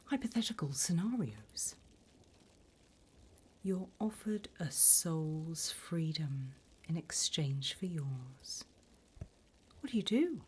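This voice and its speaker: A woman voicing a sly, sinister demon interviewer in an ASMR roleplay, in a soft breathy whisper with a teasing, menacingly polite manner. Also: deep voice, expressive, slow, long pauses, full character voice. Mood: coolly testing.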